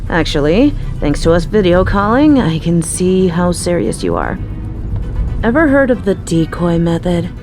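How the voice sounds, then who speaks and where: A woman playing a young female mafia boss in an audio roleplay, possessive and menacing yet doting, speaking deliberately. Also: fairly low pitch, very animated, music in the background. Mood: wry, doting. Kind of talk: scripted audio roleplay acting.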